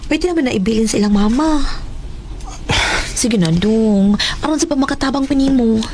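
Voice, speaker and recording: Actress as coaxing young Filipino wife in radio drama, high voice, faint noise behind. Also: female voice, expressive, moderate pace.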